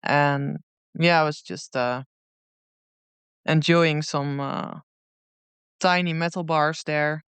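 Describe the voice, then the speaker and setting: Dutch woman answering, crisp clear voice. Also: sits low, steady tone, fairly bright tone, dry recording. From Netherlands. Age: 30s. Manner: thoughtful, unhurried, many pauses. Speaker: guest musician. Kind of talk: casual interview answers.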